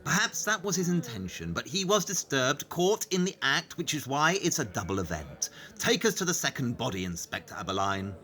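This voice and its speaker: Audio drama detective: a coldly logical, condescending man, dry and clipped, with widely varied pitch. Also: sing-song, moderate pace, sharp tone. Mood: coolly analytical. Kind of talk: audio play acting.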